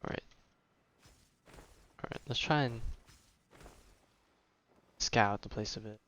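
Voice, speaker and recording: A man's voice: on the low side, slow, fairly bright tone. A young man streaming a game and chatting casually, slangy and muttering as he thinks aloud. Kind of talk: casual live gameplay chat.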